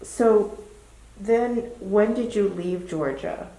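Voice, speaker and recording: A woman interviewer asking gentle, halting follow-up questions in an oral history interview. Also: mid-range voice. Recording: echoey room.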